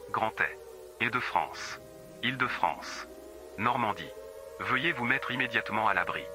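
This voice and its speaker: رجل يذيع إنذاراً من غارة جوية بصوت منخفض قليلاً وإلقاء رتيب، مع طنين واضح في الخلفية. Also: male voice, lowish voice, background noise. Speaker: air raid alert announcer. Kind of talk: scripted emergency alert.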